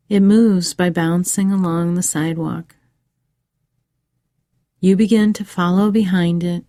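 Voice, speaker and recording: A woman narrating a scripted guided meditation for children in a measured, soothing way with pauses. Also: fairly low pitch, mellow, no room sound, studio quality.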